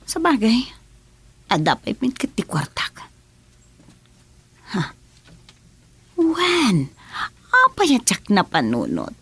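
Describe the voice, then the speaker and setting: A bossy, scheming lady of means in a radio drama. Her voice is fairly high and husky, and her intonation swings dramatically from line to line. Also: wildly swooping pitch, slow pace, long pauses, fairly bright tone, exaggerated voice. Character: bossy, scheming, well-off madam. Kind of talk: Ilocano radio drama acting.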